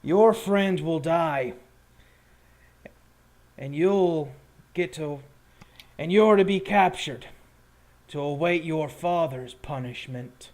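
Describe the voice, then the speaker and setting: A man's voice: on the high side, a little mellow. A man playing a cruel, taunting boss of a town's guards in a tabletop roleplaying game. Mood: menacing. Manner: slow, taunting, threatening delivery. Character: menacing, cruel enforcer boss of a guarded town. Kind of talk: tabletop roleplay villain dialogue.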